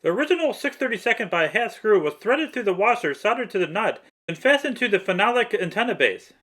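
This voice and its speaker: A man's voice: high voice, a bit bright. A man reading scripted how-to narration for a hobby restoration in a methodical, matter-of-fact way. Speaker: hobbyist restorer narrating own repair video.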